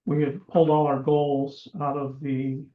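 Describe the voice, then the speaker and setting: A man runs a town commission meeting, his voice slightly higher than most and going hoarse. He speaks haltingly and fills his pauses with "uh" and "um". The room is echoey, and the recording sounds dull and lo-fi. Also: monotone. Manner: halting, many fillers. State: losing his voice. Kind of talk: meeting proceedings.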